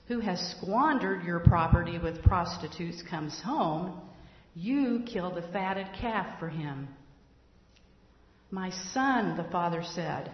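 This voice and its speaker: A woman reading a scripture passage aloud in church, slow and measured. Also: fairly low pitch. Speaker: scripture reader. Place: church sanctuary. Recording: echoey hall, dull lo-fi sound.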